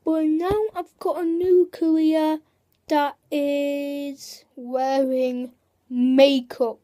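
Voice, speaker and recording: High-pitched young woman, slow and rambly, keeps restarting, comedy skit vibe. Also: little pitch movement, warm tone. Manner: rambling, halting, many fillers and restarts. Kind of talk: comedic sketch monologue in character.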